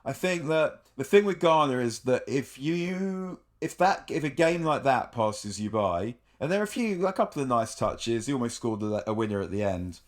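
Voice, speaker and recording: A man co-hosting a podcast who chats casually about football in an animated way, with chuckles and quick asides. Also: medium pitch. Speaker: podcast co-host.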